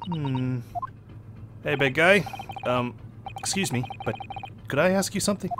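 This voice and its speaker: A man's voice: on the high side, a little slow. A man with an English accent hosting a let's play, reading game dialogue aloud and making playful, joking asides. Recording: noisy background ambience.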